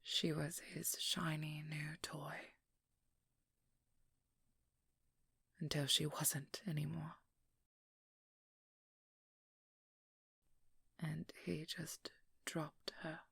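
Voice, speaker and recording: A woman playing a guarded, protective young vampire hunter in a hushed, breathy ASMR roleplay, speaking slowly with halting pauses close to the mic. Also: sits low, little pitch movement, no room sound, exaggerated voice. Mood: bitter, sorrowful. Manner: slow, halting, intimate delivery with pauses. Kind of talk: whispered ASMR roleplay, dramatic monologue. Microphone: close microphone, dry.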